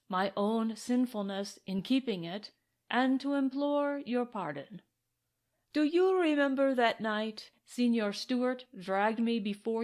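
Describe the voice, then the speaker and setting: A woman's voice: a little high, darkish tone. A woman voicing a grave, humble old priest in an audiobook western, speaking formally and courteously. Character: grave, humble, sad old male padre.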